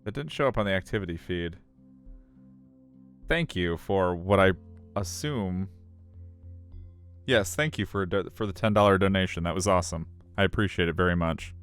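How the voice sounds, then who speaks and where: A man's voice: low-pitched, moderate pace, pauses often, a bit bright, clean tone, faint music behind. A middle-aged man live streaming a visual novel, switching between casual chat and narration. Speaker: game streamer.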